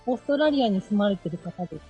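Woman guest chatting over soft music. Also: medium pitch, lively intonation, fast talker, slightly rough. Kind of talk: casual chat.

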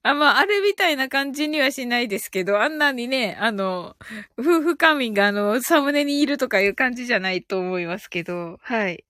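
Giggly, high-voiced woman chatting live. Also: animated, brisk, a bit bright, dry recording, clean studio sound. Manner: giggly, breaks into frequent laughter; playful, repeats phrases with amusement. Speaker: live audio stream host teaching English phrases. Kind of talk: casual live-stream chat, reacting to listener comments.

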